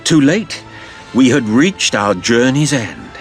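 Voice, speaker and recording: A man's voice: mid-range voice, big pitch swings, slow, faint music behind. An actor playing an earnest adventurer who recounts his tale in dramatic, scripted audio drama narration. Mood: grave, dramatic.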